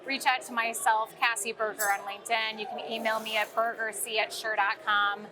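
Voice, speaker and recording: A woman's voice: on the high side, little pitch movement, fairly quick, music in the background, low-quality recording. A woman giving an informal, conversational product explanation as an interview guest. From St. Louis, United States. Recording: crowd chatter at busy event. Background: crowd at a sales meeting.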